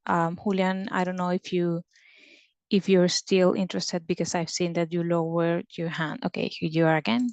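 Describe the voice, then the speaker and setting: A woman's voice: fairly low pitch, little pitch movement, normal speed, darkish tone, clean tone, dry recording, lo-fi sound. A woman moderating the question and answer part of a business conference call, reading out and passing on questions.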